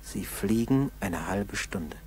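A man narrating a 1960s German school radio play in a solemn, measured, scripted style. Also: on the low side, expressive, a little mellow, faint music behind, rough recording. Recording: 1960s radio tape with background noise.

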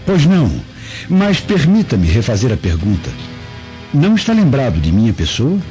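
A man's voice: medium pitch, wildly swooping pitch, normal speed, dark tone. A man playing a treacherous fruit merchant in a radio drama, with oily, servile politeness hiding menace. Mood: falsely obliging. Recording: dull lo-fi audio with music.

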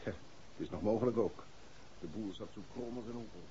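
Casting: male actor for brisk, practical cop, rapid speech, muffled old radio play. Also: on the low side, lightly acted. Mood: businesslike. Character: brisk, matter-of-fact male police officer. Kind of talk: 1960s Dutch radio drama acting. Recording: dull, faintly noisy old radio recording.

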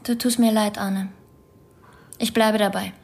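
A woman's voice: mid-range voice, flat delivery, a little slow, creaky, a little mellow. An actress playing a resolute woman in a radio crime drama, confronting someone in a firm, unyielding way. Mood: firm, accusing.

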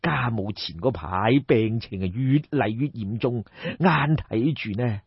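Man acting a deferential courtier, slow and husky. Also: medium pitch, voice pushed down, full character voice. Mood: deferential, earnest. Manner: respectful, measured, earnest. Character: deferential, smooth-tongued male courtier of ancient China. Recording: dull, roomy audiobook recording.

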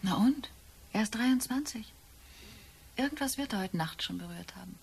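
An actress playing a nagging, sceptical middle-aged wife in a German radio drama, blunt and sardonic. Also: female voice, a little high, lively intonation, moderate pace, vocal fry, slight background noise. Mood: dry, dismissive.

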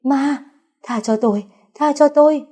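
A woman's voice: sits high, unhurried, mellow, pitched above the performer's own voice. Woman doing a shrieking terrified older woman. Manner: shrieking, sobbing pleas.

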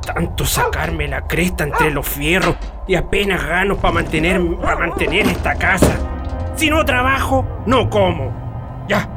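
Man acting a stern Chilean working-class father, music loud. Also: high-pitched. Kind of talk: radio drama acting.

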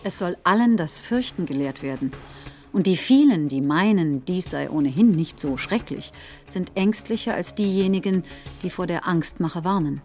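A woman acting in a radio play, reciting a populist politician's speech in a deliberate, rhetorical manner. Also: on the low side, expressive, darkish tone, faint music behind, close to an everyday voice. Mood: grave, warning. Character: combative, folksy populist politician rallying supporters.

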